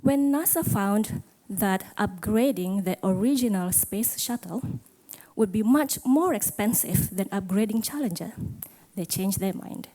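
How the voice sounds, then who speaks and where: A woman's voice: mid-range voice, animated, unhurried, dark tone, low-quality recording. A middle-aged woman gives a conference talk, asking the audience questions and joking about herself. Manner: self-deprecating, asks the audience questions. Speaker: conference speaker. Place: conference hall.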